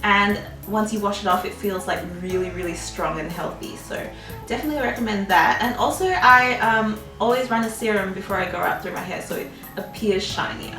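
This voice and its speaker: A woman's voice: medium pitch, a little fast, echoey room, recorded from a distance, over music. A young woman in her twenties, chatting through a casual Q&A with a bubbly, giggly manner and lots of "um"s and "like"s. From Australia. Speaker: vlogger. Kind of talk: casual Q&A chat.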